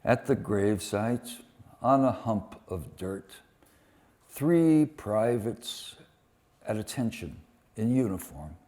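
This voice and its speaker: A man giving a dramatic live poetry reading, delivering short phrases deliberately with weighted pauses. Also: on the low side, expressive, darkish tone. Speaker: poet reading translated war poems at a poetry event.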